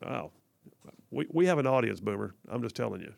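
A man's voice: mid-range voice, animated, fairly quick, vocal fry, no echo, studio quality. A man from hosting a talk radio show, chatty and folksy, reading listener messages and doing ad reads. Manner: folksy and chatty, frequent fillers and restarts. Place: radio studio. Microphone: studio microphone.

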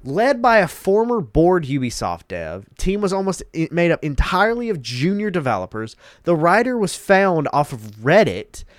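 Man, congested, emphatic, lively swooping pitch, dry close sound. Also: normal speed, hardly any pauses, no echo. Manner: emphatic, repeats words for emphasis. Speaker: gaming commentary host. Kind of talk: casual commentary reading articles.

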